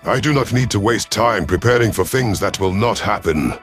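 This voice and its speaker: Sci-fi audio story: veteran alien lizard commander acted by a man, deep, measured, shrewd, dryly composed, faint music bed. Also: animated, fairly bright tone. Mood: grave, confident. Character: older male alien reptilian chief hunter, cunning, composed veteran.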